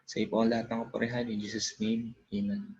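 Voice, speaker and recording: A man's voice: medium pitch, level tone, creaky, a little mellow, husky. A man with a Filipino accent leading a reverent spoken prayer in a class on a video call. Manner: reverent, measured, uses polite "po". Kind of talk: spoken prayer mixing Tagalog and English. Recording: slightly dull with faint noise.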